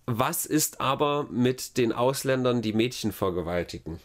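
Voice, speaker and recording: A man's voice: mid-range voice, animated, moderate pace. Sardonic man chatting on a podcast. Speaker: podcast host. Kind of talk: casual podcast chat.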